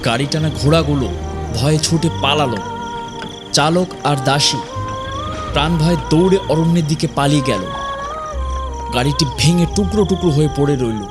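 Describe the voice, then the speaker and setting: A man narrating a scripted horror story in a suspenseful, dramatic way with deliberate pauses. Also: fairly high pitch. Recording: music behind the voice.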